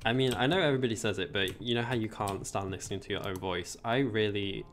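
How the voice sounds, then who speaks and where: Young English male streamer, low gravelly voice, chatty, faint background hiss and keyboard clicks. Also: quick pace. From England. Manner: chatty, rambling, reads chat aloud. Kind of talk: casual livestream chat while gaming.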